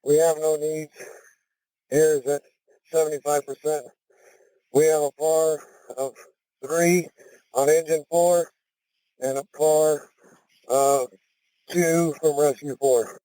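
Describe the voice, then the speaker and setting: A man's voice: fairly high pitch, steady tone, takes it slowly, long pauses, dark tone, no echo, poor audio. A man giving a clipped, businesslike procedural status report over two-way radio as a firefighter.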